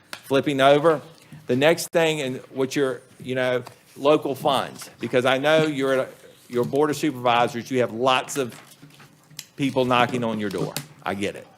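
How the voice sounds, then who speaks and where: Man, school superintendent, measured budget talk, mid pitch, public meeting. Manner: plain, measured, with frequent ums. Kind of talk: budget presentation to a county board.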